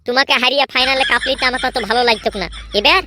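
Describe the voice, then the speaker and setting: Woman voicing brash, high-pitched little boy. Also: fast talker, bright, forceful tone, slight background noise. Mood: boastful. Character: brash, bragging young boy in comedy cartoon. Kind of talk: comedy cartoon voice acting.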